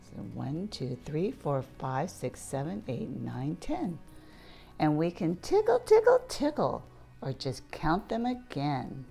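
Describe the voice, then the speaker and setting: Woman, children's read-aloud storyteller: low voice with animated swings, unhurried delivery, playful and interactive, music behind. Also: gravelly. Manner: playful, asks listeners questions and points things out. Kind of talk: read-aloud picture book narration for young children.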